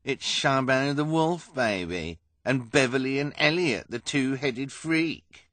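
A rude, sneering bully from a storybook, acted by a male narrator. The voice is forceful and lively, lifted in pitch, with drawn-out sarcastic jeering. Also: no room sound. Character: rude, sneering pimpled man who taunts freaks.

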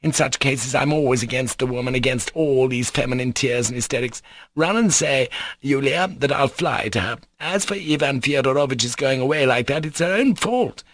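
A man's voice: mid-range voice, moderate pace, a bit bright, no echo, voice pushed up, close to an everyday voice. A man voicing a gushing, flighty middle-aged society mother who exclaims and repeats herself in an audiobook story. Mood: excited, delighted. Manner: effusive, repetitive, exclaiming.

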